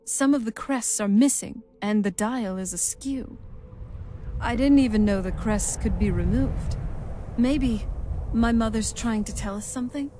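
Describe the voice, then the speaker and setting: A woman's voice: fairly high pitch, a little slow, warm tone, a little hiss or hum, natural voice. An actress playing a wistful, young-looking vampire noblewoman in a video game, speaking in a conversational way. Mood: puzzled. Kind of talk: video game character dialogue.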